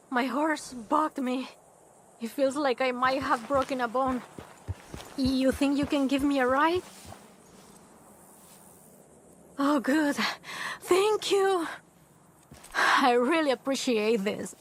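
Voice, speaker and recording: A woman's voice: high-pitched, slow pace, long pauses, slight background noise. An actress playing a stranded, chatty, complaining woman of the Old West in a video game. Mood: distressed, grateful. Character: stranded, grumbling Western woman thrown from her horse. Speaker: stranded traveler. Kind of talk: video game character dialogue.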